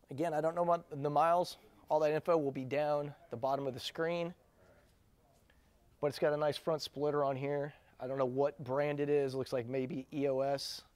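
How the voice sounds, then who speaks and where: A man's voice: mid-range voice, darkish tone. A man giving an enthusiastic, chatty walkaround commentary as a car vlogger inside a warehouse, filmed on a handheld camera.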